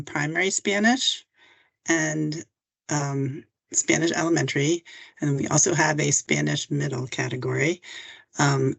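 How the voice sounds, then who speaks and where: Gravelly-voiced woman moderating. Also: low voice. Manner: loose, with filler pauses. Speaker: webinar moderator. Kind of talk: casual moderating.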